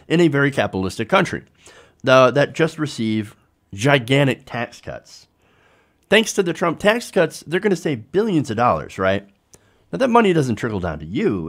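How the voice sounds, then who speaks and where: Animated American man giving political commentary. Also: medium pitch. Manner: emphatic, incredulous rhetorical questions, swears.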